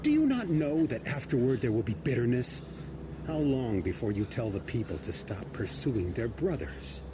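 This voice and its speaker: An actor playing an embattled army captain in a dramatized scripture audio drama, urgent and emphatic. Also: male voice, medium pitch, very animated, moderate pace, background noise, close to an everyday voice. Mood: pleading.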